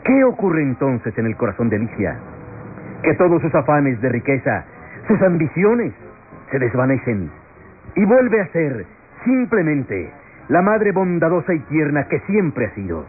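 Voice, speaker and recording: Impassioned defense lawyer, sweeping pitch, tinny radio. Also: male voice, sing-song, a little hiss or hum. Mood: grave, pleading. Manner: theatrical, declamatory, solemn, with rhetorical pauses. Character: impassioned male defense lawyer pleading in court. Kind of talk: classic Latin American radionovela acting, courtroom oratory. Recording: tinny vintage radio drama, music behind.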